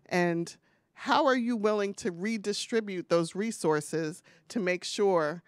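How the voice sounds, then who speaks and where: A woman's voice: mid-range voice, slow, no room sound, clean studio sound. A woman moderating a panel discussion, speaking conversationally in a candid, pointed way.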